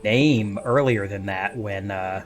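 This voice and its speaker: A man with a fairly low voice speaks as a podcast panelist in an informal, anecdotal way. Faint music plays underneath him. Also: slow. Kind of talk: casual chat, anecdotal.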